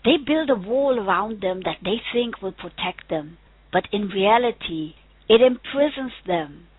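A woman with a German accent delivering a measured, scripted inspirational monologue. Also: medium pitch, expressive, breathy edge, no room sound. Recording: dry, phone-like played clip.

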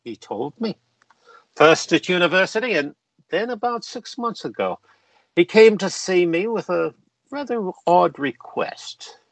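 A man acts out a self-satisfied gentleman of Parliament for a radio drama. His voice sits high for a man and he takes his time over old-fashioned, pompous phrases. The sound is close and dry. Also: expressive, measured pace, dry recording, rough recording. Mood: grave, reflective. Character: genteel, self-satisfied male member of Parliament, old university friend. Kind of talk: radio play acting.

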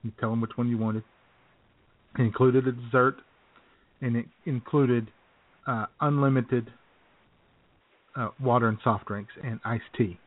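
A man's voice: medium pitch, steady tone, a little slow, pauses often. Male comedy host, mid-range voice, casual banter, irreverent, mock-indignant, tinny narrowband recording. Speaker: comedy radio show host. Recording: thin, phone-like audio.

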